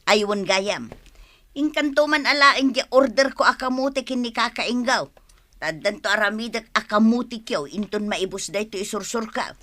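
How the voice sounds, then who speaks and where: A woman with a northern Filipino accent playing a hardworking, expressive village wife and vendor in a comedic radio drama. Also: a little high, normal speed. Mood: nagging. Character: hardworking, nagging village wife selling rice cakes.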